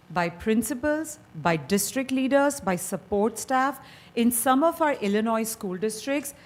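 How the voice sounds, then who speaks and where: A woman's voice: mid-range voice, a little mellow. Woman, Indian accent, from India, founder presenting, earnest, persuasive, mid-range voice. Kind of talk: board meeting presentation. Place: meeting hall.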